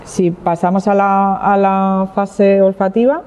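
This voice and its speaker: A woman's voice: mid-range voice, fairly even intonation, slow, darkish tone, smooth. A woman from Catalonia, a winemaker, leading a wine tasting in an explanatory, heartfelt way, hesitating and restarting often. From Catalonia, Spain. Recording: dull lo-fi sound with long hall reverb.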